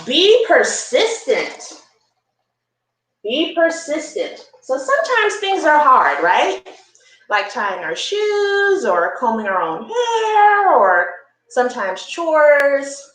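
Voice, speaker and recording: A woman hosting a children's story time, reading a picture book aloud with expressive, encouraging asides and simple explanations. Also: high-pitched, echoey room, rough recording.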